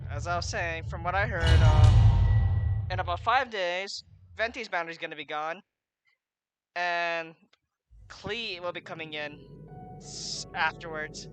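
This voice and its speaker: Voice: medium pitch, unhurried, long pauses. A gaming video host giving casual gameplay commentary in a rambling way that often breaks off and starts again. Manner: rambling, self-interrupting. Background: game music.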